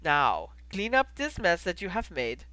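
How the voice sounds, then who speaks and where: Storybook innkeeper, acted by a reader: ageing foreign man, encouraging and patient, animated, bright voice. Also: mid-range voice, a little slow, no echo. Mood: delighted. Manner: encouraging, instructive, formal phrasing.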